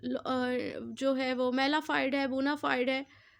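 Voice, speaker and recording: A woman's voice: high voice, level tone, slow, vocal fry. A woman with a Pakistani accent giving an explanatory law lecture, repeating and rephrasing in a didactic way and mixing in English terms.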